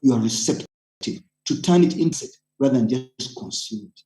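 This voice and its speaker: A man's voice: medium pitch, lively intonation, normal speed, dark tone. A man with a Ugandan accent speaking as a webinar panelist, earnest and persuasive, urging people on with emphatic points. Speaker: panelist, community organizer. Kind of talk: webinar talk, personal account with exhortation.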